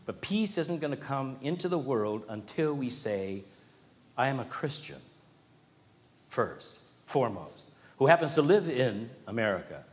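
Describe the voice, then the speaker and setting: A man preaching a sermon, swelling to emphatic points and dropping to quiet, earnest asides. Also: mid-range voice, very animated, measured pace, big-room sound. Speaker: pastor.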